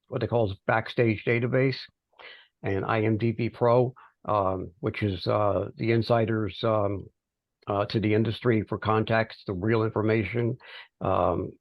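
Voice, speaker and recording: A middle-aged man giving an informal progress report on a teleconference, speaking haltingly with pauses mid-phrase. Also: on the low side, creaky, no room sound. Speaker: amateur radio project volunteer. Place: remote teleconference call. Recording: dull, band-limited teleconference audio.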